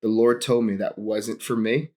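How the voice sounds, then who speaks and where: A man's voice: on the low side, fairly even intonation, moderate pace, warm tone. A young man from Hawaii, a pastor and podcast guest, telling stories in a casual chat and laughing often.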